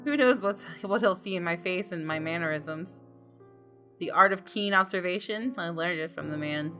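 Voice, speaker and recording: Bright-voiced woman reading over game music. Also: on the low side, moderate pace. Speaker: gaming streamer. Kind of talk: reading visual novel narration aloud with reactions. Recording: music behind the voice.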